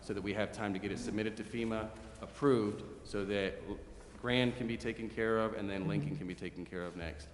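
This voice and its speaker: A man's voice: lowish voice, steady tone, fairly quick, few pauses. A man giving a plain, informal explanation to a council as an official. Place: large indoor council chamber. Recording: echoing council chamber, room noise.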